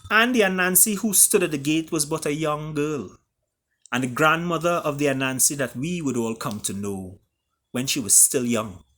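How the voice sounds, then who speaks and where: Bright-voiced Caribbean man telling a story. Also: fairly high pitch. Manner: theatrical, with deliberate pauses. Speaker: author reading own story. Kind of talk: dramatic storytelling.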